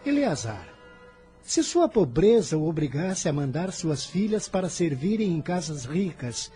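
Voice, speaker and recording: An earnest fifteen-year-old boy with a Brazilian accent, devout and serene. His voice is mellow and lightly high, swinging expressively through formal, measured lines of radio drama. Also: big pitch swings, soft background music. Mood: earnest, righteous.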